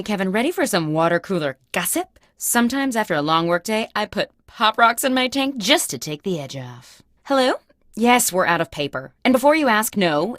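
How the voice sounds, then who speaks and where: A woman's voice: mid-range voice, fairly bright tone, no echo, studio quality. A woman playing a peppy, eccentric corporate boss who hosts a video game contest in an upbeat, office-executive manner. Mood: chirpy, eccentric. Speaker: game host. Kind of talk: scripted game narration.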